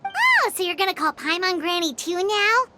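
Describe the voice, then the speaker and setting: A woman voicing a small, cheeky, childlike fairy companion in a video game, blunt, excitable and full of suspicious questions. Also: extremely high pitch, moderate pace, music in the background, full character voice. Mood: cheeky, indignant. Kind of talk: English game voice acting.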